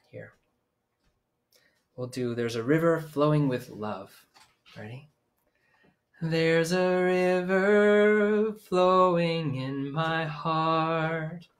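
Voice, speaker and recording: Gentle man leading a kids' sing-along. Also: on the high side. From Maine, US. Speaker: children's music teacher. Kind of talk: singing children's songs with sign language. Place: small room at home, indoors. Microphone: webcam at desk distance.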